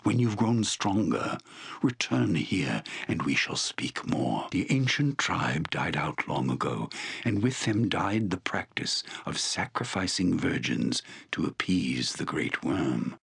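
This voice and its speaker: A noble fantasy-game shaman with a very deep, husky voice. He speaks slowly and formally, with solemn gravity and broad swells of intonation. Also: big pitch swings, full character voice. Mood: solemn, measured. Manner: solemn, formal, deliberate delivery. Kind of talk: dramatic fantasy video game voice acting.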